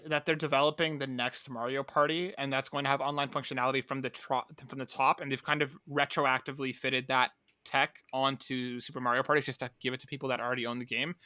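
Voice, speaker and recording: A man's voice: medium pitch, fairly even intonation, brisk, few pauses, sharp tone, no room sound, studio quality. A man hosting a casual gaming podcast, chatting in a conversational, opinionated way. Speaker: podcast host.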